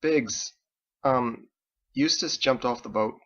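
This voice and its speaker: A man voicing a nervous, awkward, defensive guy with plain, halting everyday speech for an audiobook. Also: mid-range voice, fairly bright tone, muffled recording. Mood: sheepish.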